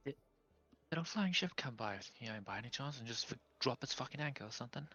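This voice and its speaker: A man's voice: medium pitch, lively intonation, quick pace, sharp tone, dull audio. A man playing in a tabletop game, talking in casual banter with stammering restarts. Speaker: player.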